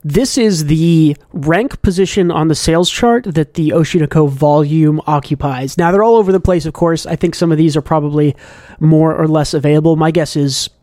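A man's voice: on the high side, moderate pace, dark tone, dry recording, clean studio sound. A man hosting a manga podcast in casual chat, rambling along with a fan's enthusiasm. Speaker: podcast host.

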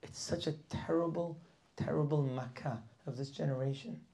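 Gravelly, impassioned Torah class teacher man. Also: on the high side, steady tone, moderate pace, warm tone, a little hiss or hum. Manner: impassioned preaching with rhetorical questions, dropping to near whispers. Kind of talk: weekly Torah class lecture.

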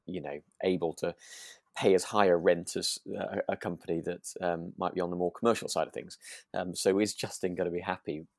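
A man with a low voice chats as a podcast co-host. He rambles a little and feels his way through each thought, recorded dry and close. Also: gravelly, darkish tone, dry recording. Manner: hesitant, rambling, thinking aloud. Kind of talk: relaxed chat reviewing a radio drama.

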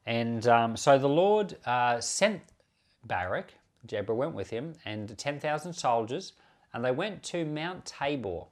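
A man teaches from the Bible in the manner of a pastor talking to listeners. His delivery is conversational and unscripted, correcting himself and stepping into small asides as he explains. His pitch sits in a medium range for a man. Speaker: pastor and Bible podcast host. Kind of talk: informal Bible commentary, teaching.

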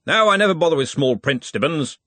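A man's voice: a little high, animated, fairly quick, no echo, voice pushed up. Man voicing a bluff, bossy old wizard chancellor. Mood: blustering. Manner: blustering, loud and stubborn.

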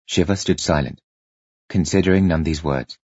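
Male storyteller for dramatic fiction narration; low, slightly rough voice, measured and expressive. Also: no echo, highs cut off. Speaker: audiobook narrator.

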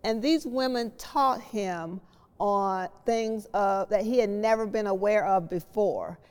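A woman hosting a book discussion, explaining ideas in a measured, deliberate manner. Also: medium pitch, a little mellow, clean tone. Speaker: host of a discussion series. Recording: long hall reverb on the voice.

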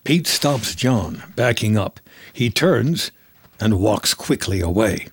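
A man with a husky voice reading scripted, present-tense screenplay narration as a storyteller. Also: on the low side, expressive, slow pace, slight background noise.

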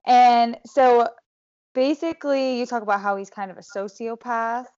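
Female podcast host, mid pitch, lo-fi muffled audio, affirming interviewer. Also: normal speed, a little mellow, smooth. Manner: brief affirming responses. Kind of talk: casual interview.

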